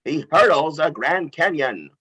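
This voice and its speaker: A man playing a grand, excited newsreel announcer in a film table read, with a declamatory, showy delivery. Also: fairly high pitch, a little slow, husky, full character voice.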